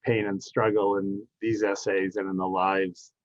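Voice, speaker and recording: Low, halting man, slightly dull sound. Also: level tone, no echo. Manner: halting, measured, thoughtful phrasing. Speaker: law school dean. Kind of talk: reflective closing remarks.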